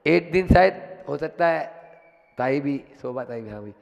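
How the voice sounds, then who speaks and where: A man, a monk, giving a slow sermon that tells a remembered story, rising loudly to stress points. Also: on the high side, animated, creaky, muffled recording. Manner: slow storytelling, swelling loud for emphasis. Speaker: Jain monk giving a discourse. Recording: long hall reverb.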